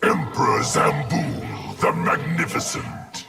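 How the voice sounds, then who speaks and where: A man's voice: medium pitch, animated, slow pace, creaky, heavily acted. A man voicing a collective of tormented souls in one warrior, solemn and formal in a fighting game, with music behind. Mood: grave. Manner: solemn, formal, declamatory, speaks as "we". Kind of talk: fighting game character acting.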